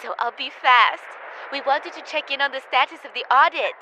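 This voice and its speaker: An actress playing a breezy caller on a yacht holiday in an audio comedy. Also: female voice, sits high, fairly quick, very noisy, lightly acted.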